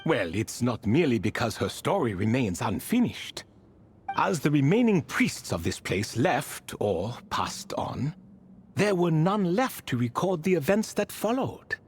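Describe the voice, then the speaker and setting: Old proud ibis spirit king from a game, slow n theatrical, voice goes way up and down. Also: mid-range voice, big pitch swings, slight background noise. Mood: reflective. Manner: theatrical, storytelling, archaic grand phrasing. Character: ancient sealed ibis spirit king, whimsical and prideful. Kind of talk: scripted game dialogue.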